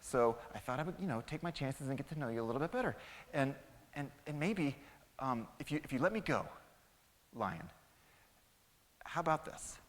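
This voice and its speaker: Man putting on an excitable little mouse in a hall. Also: mid-range voice, normal speed, pauses often, lightly acted. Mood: apologetic. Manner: chatty, earnest, eager. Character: excitable eager friendly little mouse, male. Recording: echoey hall with long reverb.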